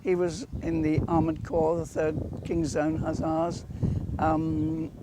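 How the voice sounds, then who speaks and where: Old british lady in her 80s, measured and articulate, telling her memories, noisy outdoor spot. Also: low-pitched, monotone. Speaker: war widow being interviewed. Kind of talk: reminiscing in an interview. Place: outdoors at a war cemetery. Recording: noisy outdoor location recording.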